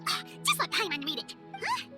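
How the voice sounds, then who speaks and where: A woman voicing a tiny childlike fairy companion in a video game, squeaky, cutesy, inquisitive and excitable. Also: normal speed, over music, full character voice. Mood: puzzled, incredulous. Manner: cutesy, exclamatory, speaks of self in third person. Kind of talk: video game cutscene voice acting.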